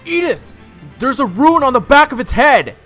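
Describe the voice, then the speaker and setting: A man playing an urgent young soldier who yells in a fantasy audio drama. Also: very high-pitched, very animated, normal speed, music in the background. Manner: urgent, yelling.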